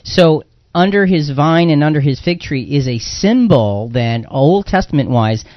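Male bible podcast host, bit higher voice, emphatic, repeats himself, dry muffled-ish sound. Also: lively intonation, measured pace, dry recording. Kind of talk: conversational moderating of Bible discussion.

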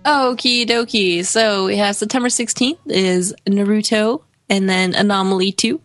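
A woman's voice: medium pitch, steady tone, moderate pace, a bit bright, no room sound, clean studio sound, soft background music. A sniffly woman co-hosting a casual gaming podcast, chatting and reading out lists in a playful, joking way. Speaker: podcast co-host reading game release dates.

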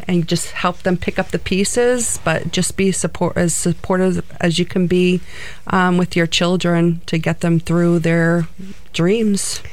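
Middle-aged woman, New Jersey accent, earnest interview guest, a little low-pitched. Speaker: guest, co-owner of flight center. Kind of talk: interview answers.

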